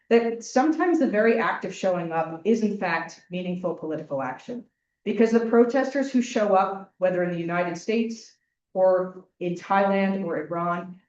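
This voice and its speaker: A woman giving a measured academic conference talk, closing her remarks formally. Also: medium pitch, a little mellow. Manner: measured, ends with a formal close. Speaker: academic panel speaker. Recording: distant mic in echoey room, muffled.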